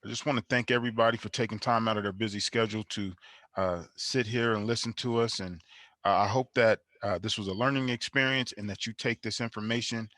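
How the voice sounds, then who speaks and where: A man's voice: fairly low pitch, a bit bright, dry recording, clean studio sound. A man on a remote call giving informal thank-you remarks as a panel speaker. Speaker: monologue storyteller on a panel.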